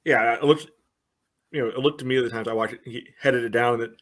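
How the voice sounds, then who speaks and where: A man's voice: medium pitch, steady tone, brisk, fairly bright tone. A man giving casual soccer analysis on a podcast, breaking his thoughts into short phrases padded with filler. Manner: peppers phrases with "you know". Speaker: podcast host and soccer supporter. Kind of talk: casual sports podcast discussion.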